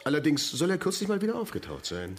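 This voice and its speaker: An ingratiating school headmaster speaks hesitantly in a gravelly voice with lively swings of pitch. He is obliging and gossipy, in a radio play with slight background noise. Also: fast. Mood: helpful, gossipy. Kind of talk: naturalistic German radio play dialogue.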